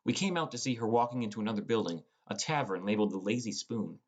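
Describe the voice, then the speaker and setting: A man's voice: lowish voice, fast talker. Man as teenage boy narrating a fantasy audiobook. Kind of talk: first-person scripted audiobook narration.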